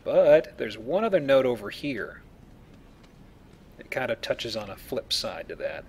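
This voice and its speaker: A man's voice: mid-range voice, big pitch swings, unhurried, slight background noise. Joking man commentating over a game. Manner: joking, explanatory. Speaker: Let's Play host. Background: video game sounds.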